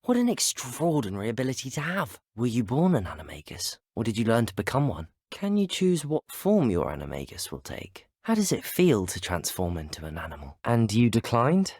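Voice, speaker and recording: Earnest young British wizard student. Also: male voice, medium pitch, huge pitch swings, fairly quick, hardly any pauses, a little mellow, husky, dry recording, clean studio sound, heavily acted. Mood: friendly, curious. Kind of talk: video game character dialogue.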